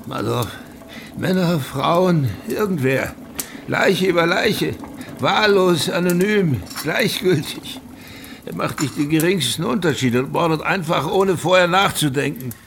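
An actor playing a tense, defensive provincial police chief in a noir radio drama, agitated, insistent and clipped. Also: male voice, a little high, expressive, a little slow, gravelly, a bit bright, a little hiss or hum, exaggerated voice. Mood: grim, exasperated.

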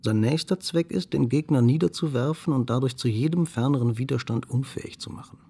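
A man reciting a literary radio-play monologue in a measured way, husky and gravelly, at times nearly whispering. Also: mid-range voice, mellow. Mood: grave, didactic.

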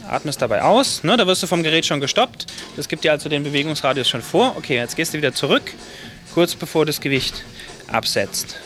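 A man's voice: on the high side, lively intonation, brisk, a bit bright. A man coaching a workout with short, encouraging instructions as a fitness trainer. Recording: music behind coaching.

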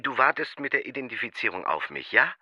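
An actor playing a bossy middle-aged uncle in an audio drama, heard husky through a telephone filter. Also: male voice, medium pitch, big pitch swings, fast talker, bright, forceful tone, dry recording, muffled recording, heavily acted. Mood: insistent.

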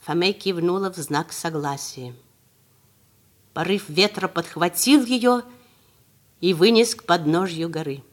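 A woman narrating a children's fairy tale in a calm, measured and expressive way. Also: lowish voice. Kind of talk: scripted storybook narration for children.

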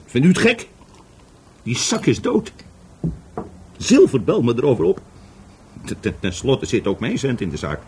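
A tipsy, stammering man, swinging pitch. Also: darkish tone, slight background noise. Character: drunken, rambling, bitter divorced former business partner. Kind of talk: Dutch radio play acting.

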